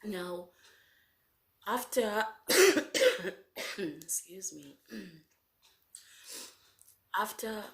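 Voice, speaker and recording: A woman from Zanzibar tells her own story, hesitating often and restarting her sentences. She keeps clearing her throat and coughing between phrases. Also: mid-range voice, a bit bright. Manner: halting, many fillers and restarts, frequent throat clearing. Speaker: speaker sharing her faith story. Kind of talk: personal testimony.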